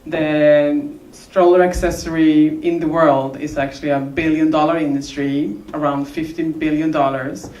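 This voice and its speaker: A woman's voice: deep voice, darkish tone. A woman co-founder presenting her company in a casual, slightly hesitant way. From Uganda, raised in Sweden. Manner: casual, hesitant, self-correcting. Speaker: co-founder, contestant. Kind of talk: pitch presentation. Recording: echoey hall recorded from far off.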